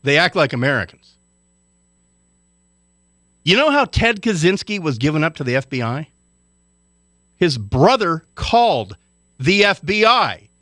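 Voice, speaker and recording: A man's voice: on the high side, sing-song, measured pace, long pauses, sharp tone, dry recording, studio quality. A man from Hawaii delivering an indignant, lecturing radio commentary rant.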